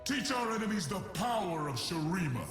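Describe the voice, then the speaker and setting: An imperious desert god-emperor from a video game, grandiose and commanding. The voice is fairly high and sweeps widely in pitch, echoing over music. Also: male voice, very animated, brisk. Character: proud, imperious resurrected male god-emperor of a desert empire. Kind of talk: theatrical video game voice lines.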